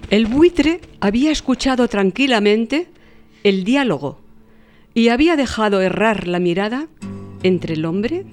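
A woman's voice: on the high side, lively intonation, a little slow, darkish tone. A woman narrator reading a scripted literary audio story. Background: music.

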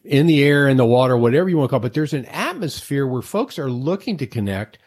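A man's voice: medium pitch, big pitch swings, fast talker, darkish tone, husky. An American man hosting a casual interview in a chatty, folksy way, rambling into asides. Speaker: interview host.

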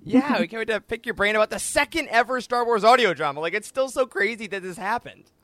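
A man's voice: high-pitched, lively intonation, fast talker, a bit bright, no room sound. Male podcast host, effusive and chatty, casual interview delivery, dry recording. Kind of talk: casual upbeat interview chat.

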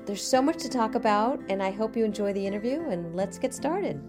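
A woman's voice: mid-range voice, expressive, fairly quick. Effusive woman host with music behind her. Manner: effusive and gushing, repeats words. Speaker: podcast host and interviewer. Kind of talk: enthusiastic show intro and interviewing. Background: music under the intro.

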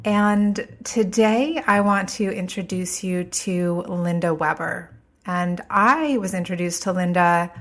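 Woman, podcast host, stuffed up from a cold, lowish voice, earnest, slows down for emphasis. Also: a bit bright. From United States. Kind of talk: podcast intro monologue.

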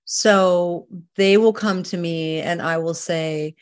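A middle-aged woman from Canada, a holistic healer answering interview questions in an earnest, emphatic way that sometimes slows down to make a point. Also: on the low side, fairly even intonation.

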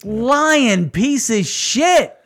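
A man's voice: very high voice, animated, very slow, fairly bright tone. A man co-hosting a local politics podcast, mocking and sarcastic toward officials. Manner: mocking, sarcastic, laughing at opponents. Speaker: podcast co-host.

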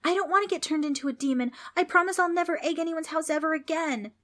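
A woman acts a frightened storybook boy. He is whiny and panicky, with a shrill voice pitched far up. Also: fast talker, fairly bright tone. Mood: pleading, scared.

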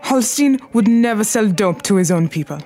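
A woman's voice: mid-range voice, animated, normal speed, dark tone, faint music behind, lightly acted. An actress playing a proud, defiant Harlem crime queen in a period radio drama, elegant and commanding, with formal, precise diction.

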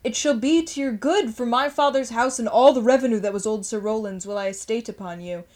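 A woman playing an earnest young gentleman in a Shakespearean radio play, speaking measured verse. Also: on the high side, close to an everyday voice. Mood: earnest pleading. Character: Oliver, young gentleman, earnest reformed elder brother.

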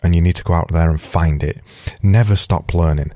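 Deep-voiced Yorkshire man, dry close sound. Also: a little fast, dark tone, dry recording. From Yorkshire, England. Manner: emphatic, repeats key points for stress. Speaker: podcast host, designer giving career advice. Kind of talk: informal solo advice talk.

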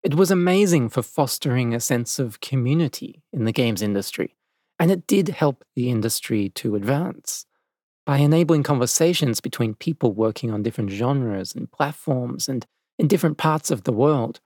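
A man's voice: medium pitch, lively intonation, moderate pace, darkish tone, no echo. Man narrating in a dry, close recording. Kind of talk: scripted documentary narration.

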